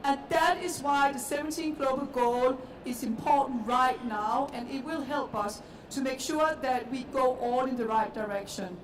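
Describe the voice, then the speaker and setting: A woman with a Danish accent speaks on a panel in an earnest, repetitive way, pressing her points. Her voice is high and sits far from the mic. The room echoes and noise runs underneath. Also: moderate pace. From Denmark. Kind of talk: panel discussion. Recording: echoey hall, distant mic, noisy.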